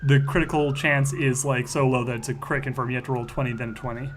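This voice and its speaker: A man with a middling pitch talks casually through game rules as a player. His recording carries clear background noise and ambience. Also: fairly even intonation, fast talker. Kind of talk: casual rules talk while gaming. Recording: noisy mic with room ambience.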